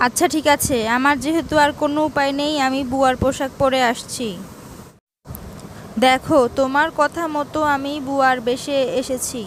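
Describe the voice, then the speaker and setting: A woman's voice: high voice, a little hiss or hum, lightly acted, poor audio. A woman voicing a stylish, headstrong young daughter-in-law in a cartoon. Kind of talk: cartoon dialogue.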